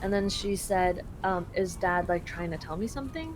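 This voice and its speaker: A woman's voice: lowish voice, animated, normal speed, a little hiss or hum, rough recording. A young woman from Canada translating a game live for a friend, chatty and full of fillers.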